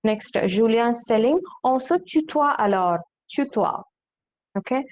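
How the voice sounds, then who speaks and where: A woman teaching a French class, slowly repeating phrases and checking that students follow. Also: fairly high pitch, expressive, a little mellow. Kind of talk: online language class.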